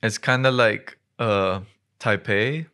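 A man's voice: fairly low pitch, slow pace, bright, forceful tone, clean tone. A man in his twenties from Hong Kong, chatting casually and slangily as a podcast guest on a close studio microphone. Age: 20s. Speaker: basketball player, podcast guest. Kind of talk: casual chat. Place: small dim podcast studio indoors. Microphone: close studio mic on boom arm.

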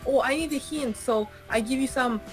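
A 21-year-old woman from Uzbekistan, chatting on a video call, animated and expressive. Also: sits high, moderate pace, music in the background. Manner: animated and expressive, exclaims and laughs often. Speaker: video chat user. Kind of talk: casual video chat with strangers. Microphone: webcam video call.

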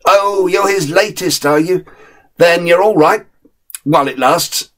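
A man's voice: high voice, a little slow, fairly bright tone, pitched above the performer's own voice. A man voicing a friendly, streetwise male terrier who gives blunt, knowing advice.

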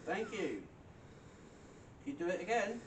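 A man's voice: sits high, animated, a little slow. Guy on a ghost hunt, asking the spirits questions, far from the mic, hissy and echoey. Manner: jokey, asks questions out loud to the room. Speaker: paranormal investigator. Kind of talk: casual chat, calling out to spirits. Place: stone room in an old mine building at night. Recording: echoey room, off-mic, noisy hiss.

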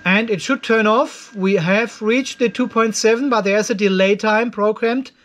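A man's voice: high voice, normal speed, a bit bright. Male video host, 50s, voice set well above average, casual explanatory commentary delivered as if thinking aloud. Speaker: host of an off-grid solar video channel. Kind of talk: casual product test commentary. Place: cluttered garage workshop.

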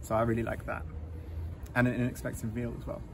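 A man, talking in a relaxed, halting way with many fillers during a casual interview in an outdoor community garden. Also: medium pitch, vocal fry. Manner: easygoing, hesitant with many fillers. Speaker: interview guest, volunteer. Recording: outdoor noise with passing traffic.

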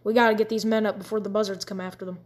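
A woman voicing a blunt Confederate soldier who talks in rough country dialect. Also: mid-range voice, level tone, very fast, natural voice. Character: gruff Southern male Confederate soldier.

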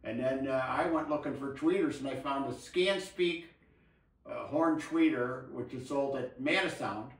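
A man's voice: medium pitch, measured pace. Older man in an echoey room. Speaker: co-host of an audio hobby show. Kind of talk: casual technical chat. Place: indoor room with table and blinds. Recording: roomy, echoey, distant mic.

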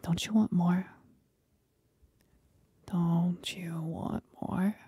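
A woman playing a seductive, mocking demon companion in a whispered ASMR roleplay, speaking breathily with deliberate pauses. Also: fairly low pitch, steady tone, mellow, breathy edge, dry recording, heavily acted. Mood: tempting.

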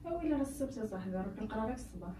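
An actress playing a shy younger sister with a Moroccan accent, talking hesitantly and with embarrassment. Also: female voice, on the high side, animated, racing, warm tone, recorded from a distance, slight background noise, close to an everyday voice. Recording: roomy echo, mic set back.

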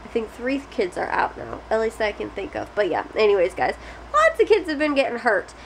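A young woman chats to a close camera in a small bedroom. Her voice sits a little high, and she rambles along with fillers and restarts. A faint hiss sits under her speech. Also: huge pitch swings, fairly quick, gravelly, rough recording. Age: young adult. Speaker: family vlogger. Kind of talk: casual chat to camera. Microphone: close camera at face height.

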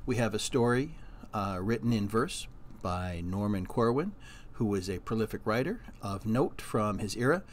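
A man hosting a radio rerun show, giving a spoken introduction to a program. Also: mid-range voice. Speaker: host of old-time radio rerun show.

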